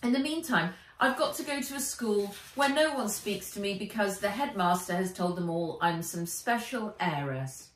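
A woman's voice: medium pitch, lively intonation, moderate pace, hardly any pauses, voice pushed up, lightly acted. A woman voicing a dramatic, exasperated young heiress girl. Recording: echoey room, voice a little far off.

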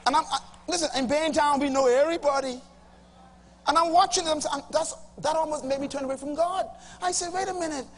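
A middle-aged Bahamian man preaching a sermon to a congregation, emphatic, swinging from loud bursts to low asides. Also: a little fast. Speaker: pastor. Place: large church auditorium with a congregation. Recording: reverberant hall, faint hum.

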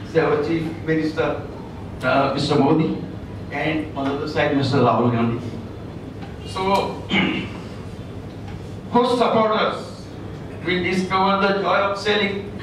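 Indian guy asking the panel a question, keeps saying sir, echoey hall, hissy. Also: on the high side. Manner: polite, deferential, repeats "sir". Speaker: audience member asking a question. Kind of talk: formal, halting question from the floor. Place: large lecture hall. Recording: echoey hall, far mic, noisy.

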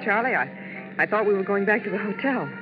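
A woman's voice: medium pitch, animated, natural voice. Woman acting scared clingy showgirl wife in an old 50s radio play, pleading and stammery, dull lo-fi sound. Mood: frightened pleading. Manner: pleading, halting, repeating herself. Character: frightened, clinging Las Vegas showgirl wife. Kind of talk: 1950s radio drama acting. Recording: dull 1950s radio broadcast with music.

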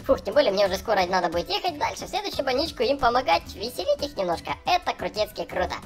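A man's voice: very high voice, very animated, very fast, fairly bright tone, full character voice. A man voicing a cheerful young clown for small children, gushing and chatty as he narrates gameplay. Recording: voice over background music. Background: music.

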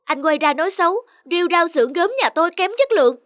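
A woman's voice: very high voice, lively intonation, quick pace, fairly bright tone, no room sound, studio quality. A woman voicing an indignant, protective young daughter in a cartoon drama, emphatic and reproachful. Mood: angry.

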